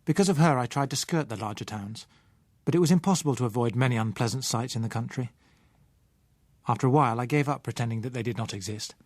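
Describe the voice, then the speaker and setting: A man with a British accent reading a novel in the first person as an audiobook narrator, in a measured, literary way. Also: medium pitch, a little mellow, no room sound.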